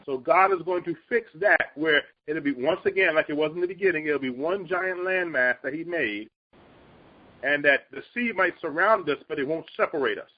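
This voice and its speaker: Husky high-voiced guy doing earnest bible talk, kinda muffled recording. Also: expressive, moderate pace. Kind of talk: Bible teaching in conversation.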